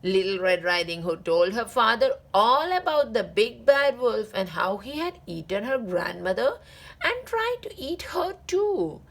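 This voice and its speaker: A woman hosting a read-aloud, narrating a children's story deliberately with drawn-out phrase endings. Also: fairly high pitch, very animated, fairly bright tone. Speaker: read-aloud channel host.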